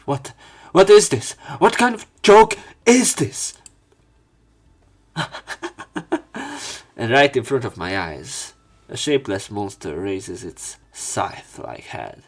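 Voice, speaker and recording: A man's voice: mid-range voice, sing-song, slow pace, pauses often, husky. A man doing a let's play, reading story narration aloud dramatically with casual commentary. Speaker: let's player.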